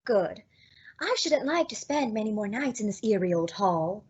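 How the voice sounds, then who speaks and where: A woman acting an anxious, excitable lady sleuth in a melodramatic old-time radio thriller. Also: mid-range voice, moderate pace, breathy edge, lo-fi sound. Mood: uneasy. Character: Margot Lane, anxious young lady sleuth's companion.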